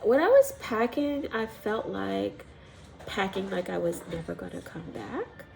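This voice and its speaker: A woman's voice: mid-range voice, sing-song, measured pace, creaky, darkish tone, soft background music, low-quality recording. A woman with a cold and a stuffy, congested voice, chatting casually as a missionary telling her story, rambling with ums and little laughs. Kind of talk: casual personal testimony vlog.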